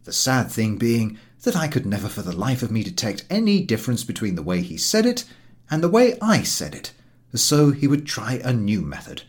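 A man reading a comic first-person literary memoir as an audiobook narrator, in a theatrical, animated way. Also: mid-range voice, moderate pace.

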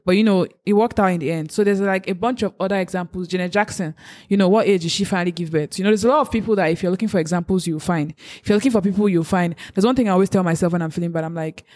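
Woman from africa talking fast, earnest faith advice, casual chat. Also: fairly low pitch, darkish tone. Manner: earnest, faith-driven encouragement. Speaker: co-host giving advice.

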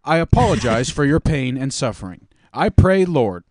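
A man's voice: mid-range voice, very animated, moderate pace, a little mellow, dry recording, close to an everyday voice. A man voicing a condemned prisoner who gives a solemn, tender farewell to his family in a comic reading. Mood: tender apology.

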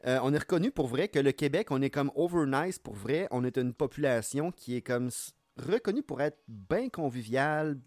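A man from Quebec with a Québécois French accent, a guest on a casual podcast chat, talking in a playful, expressive way. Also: medium pitch, normal speed, hardly any pauses, no echo.

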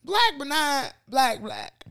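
Thoughtful, fairly high-voiced woman. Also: huge pitch swings, slow, a bit bright. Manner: thoughtful, reflective, with many fillers. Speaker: podcast co-host. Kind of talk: casual chat.

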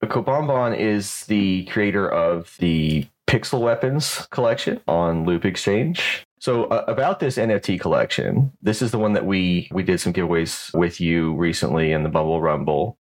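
Low-voiced male podcast host. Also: moderate pace, hardly any pauses. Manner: friendly, encouraging interviewer. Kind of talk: podcast interview.

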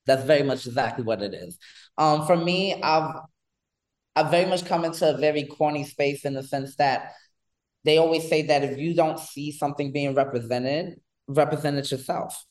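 A man in his thirties from New York, a guest on an interview show, talking in an animated, rambling way with lots of fillers. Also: on the high side, normal speed, rough recording. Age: 30s. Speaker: guest, pole dance instructor. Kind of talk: casual interview chat.

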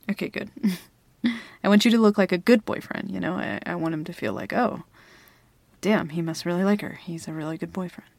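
A woman's voice: on the low side, normal speed, vocal fry, darkish tone, no echo. A young woman playing a flustered girl smitten with her best friend in an intimate ASMR roleplay, hesitant, stammering and giggly. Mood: excited, relieved. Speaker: audio roleplay performer. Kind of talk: ASMR girlfriend roleplay, intimate one-sided dialogue.

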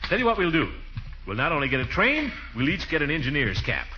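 A man's voice: on the high side, wildly swooping pitch, quick pace. A man playing a jovial, blustery middle-aged uncle in a 1940s radio comedy, with hearty, chuckling delivery. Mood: jovial, eager. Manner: chuckling, hearty, exaggerated swoops. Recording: noisy, muffled 1940s radio recording.

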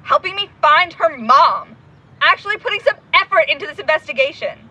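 A woman's voice: high-pitched, animated, brisk, pushed and bright, heavily acted, rough recording. An actress playing an intense, stubborn young woman investigator in an audio drama, blunt, sarcastic and sweary. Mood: angry, hurt. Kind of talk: naturalistic audio drama acting.

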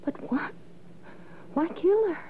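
Distraught young woman, pleading, lively pitch, radio drama, narrow band, faint hiss. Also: takes it slowly, full character voice. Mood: shocked, distraught. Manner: stunned, pleading. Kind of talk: 1960s radio acting. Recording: narrow, noisy old radio recording.

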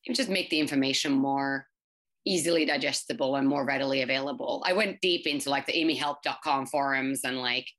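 A woman in her thirties, English not her first language, giving quick, chatty interview answers full of fillers and restarts. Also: rumbling low, fairly bright tone. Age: 30s. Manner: fast, filler-heavy, many restarts. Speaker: startup founder.

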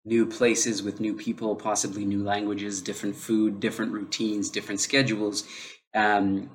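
Low-voiced man hosting a podcast. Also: little pitch movement, normal speed, a little hiss or hum, low-quality recording. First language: English. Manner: unscripted, heavy use of fillers like um; thinking aloud, restarts mid-sentence. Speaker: podcast host. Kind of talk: solo podcast monologue.

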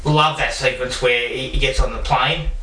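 An Australian man with a slightly high voice chats casually and jokily about films, swearing as he goes. He sits off the microphone in an echoey room, with clear background noise. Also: steady tone, normal speed. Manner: jokey, laughs often, swears. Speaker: podcast co-host. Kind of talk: casual comedic film chat among friends. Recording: echoey, distant mic, noisy with clicks.

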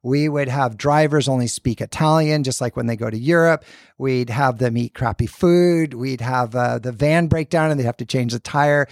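Gravelly-voiced man telling a story. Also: mid-range voice, moderate pace, no echo, studio quality. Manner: earnest, thoughtful, many restarts. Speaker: sports scientist guest. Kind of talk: conversational storytelling.

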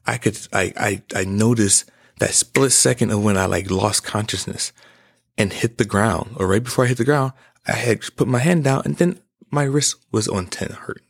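Man, 20s, podcast host, low gravelly voice, preachy emphatic monologue. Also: moderate pace. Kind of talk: impassioned personal monologue.

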